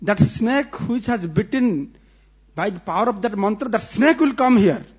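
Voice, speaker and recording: A man's voice: high-pitched, lively intonation, normal speed, husky. Man doing a crafty woman healer. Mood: coaxing. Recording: dull lo-fi recording.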